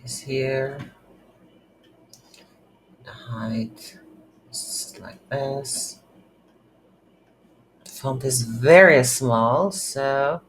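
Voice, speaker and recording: Very slow, halting software tutorial instructor. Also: medium pitch. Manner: halting, thinking aloud while working. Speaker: game development tutorial instructor. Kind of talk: unscripted screen-recorded software tutorial. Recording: background hiss, keyboard typing noise.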